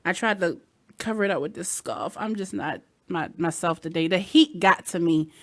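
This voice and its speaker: A woman's voice: lowish voice, normal speed, no room sound. A woman radio host with a Baltimore accent, chatting candidly with sassy asides. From Baltimore, Maryland. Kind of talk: casual chat. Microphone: headset.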